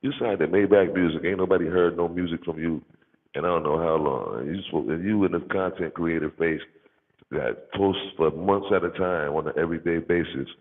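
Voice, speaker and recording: An American man with a deep, bassy, gravelly voice chats casually in slang, rambling and repeating phrases for emphasis. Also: brisk. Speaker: content creator, livestream host. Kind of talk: casual rambling livestream chat. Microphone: microphone with bass turned up. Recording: boomy hall-like reverb, muffled narrow-band sound.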